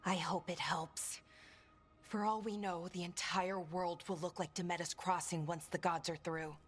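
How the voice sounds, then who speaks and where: A woman's voice: lowish voice, fairly even intonation, a little slow. A woman playing a blunt, troubled companion to a hero in a video game. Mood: concerned. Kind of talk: video game dramatic acting. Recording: game music under the voice.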